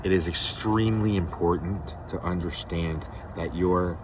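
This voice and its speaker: A man with a husky voice giving a rambling, unscripted spiritual monologue, emphatically repeating phrases for stress as he hosts. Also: sits low, steady tone, unhurried, background noise. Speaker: host of a spiritual series.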